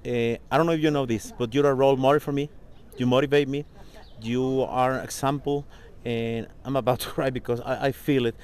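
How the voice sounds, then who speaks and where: A man whose first language is Spanish interviews in English, effusive and openly emotional. He laughs often between his questions and talks in a middle-pitched voice. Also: fairly even intonation, a little fast, a little mellow, clean tone.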